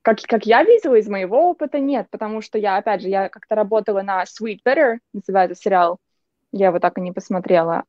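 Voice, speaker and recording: A woman's voice: mid-range voice, quick pace, a little mellow, no echo. A young woman from Russia, a journalist guest, telling casual stories in a chatty way and often restarting her sentences. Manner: chatty, anecdotal, frequent restarts. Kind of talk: casual interview chat. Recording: dull lo-fi dry sound.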